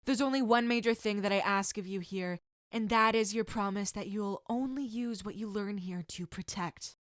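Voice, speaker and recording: A woman's voice: mid-range voice, moderate pace, fairly bright tone, no echo, clean studio sound, natural voice. A woman voicing a strict, regal martial arts instructor, speaking in a formal, commanding way. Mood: stern warning.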